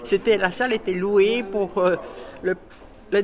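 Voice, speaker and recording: An older woman with a Belgian French accent, interviewed about old cinemas, lively and chuckling, cutting in on her friend. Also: mid-range voice, unhurried. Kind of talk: casual interview.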